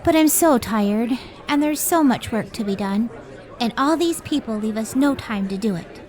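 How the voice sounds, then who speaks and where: A woman's voice: a little high, lively intonation, moderate pace, darkish tone, some chatter behind, natural voice. A woman playing a devoted, hard-working scientist wife in an old time radio drama. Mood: weary, fretful.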